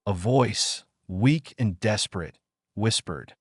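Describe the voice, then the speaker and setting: A man's voice: on the low side, animated, slow pace, slightly rough, dry recording, studio quality. A man reading a scripted story in a dramatic, suspenseful narrator's style.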